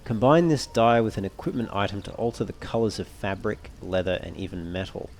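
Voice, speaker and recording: A man with a low voice and a creaky, fry-edged delivery commentates on a game. He talks casually, as a let's play host does, over faint background music. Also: expressive, fairly quick, a little mellow. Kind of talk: casual gameplay commentary, reading item text aloud.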